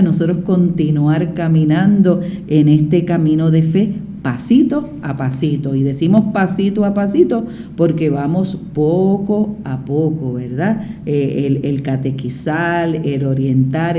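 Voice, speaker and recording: A woman's voice: low voice, mellow, slight background noise. A woman hosting a radio interview, talking in a conversational way with repetitions and fillers. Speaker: radio host.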